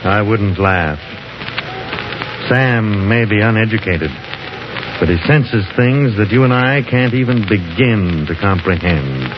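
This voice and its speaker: An actor playing a learned, determined professor and explorer in a 1950s radio drama, speaking in an articulate, instructive way. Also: male voice, lowish voice, lively intonation, slow pace. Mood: calm, determined. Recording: noisy, dull old 1950s radio recording.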